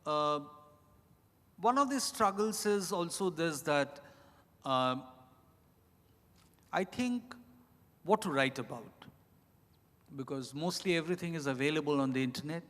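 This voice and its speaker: Reflective man, higher-pitched voice, echoing hall. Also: animated, fairly bright tone. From India. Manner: reflective, halting, phrase by phrase. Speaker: author answering questions. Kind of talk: unscripted panel answer. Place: large indoor event hall at a hotel. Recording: echoey event hall, long reverb.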